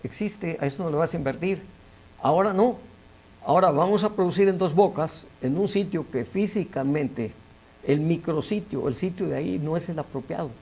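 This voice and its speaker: An older Mexican man with a gravelly, fry-edged voice that sits a little high for a man. He speaks as an interview guest, measured and emphatic, often repeating a word while he finds the next one. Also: darkish tone. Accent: Mexican Spanish. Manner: measured, emphatic, repeats words when searching. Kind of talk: conversational interview.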